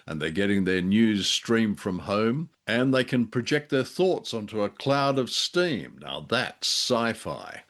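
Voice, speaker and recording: A man's voice: fairly low pitch, big pitch swings, takes it slowly, few pauses, fairly bright tone. A man giving casual review commentary as a podcast co-host. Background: faint music.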